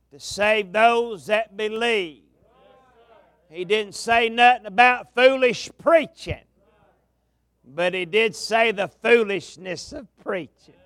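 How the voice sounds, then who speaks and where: Southern preacher man at a revival, high gravelly voice, dry close sound, drawls it out. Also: a bit bright, no room sound. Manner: folksy, drawn-out preaching cadence. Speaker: pastor, guest preacher. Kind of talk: revival sermon. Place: large tabernacle revival meeting. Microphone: amplified mic at a pulpit.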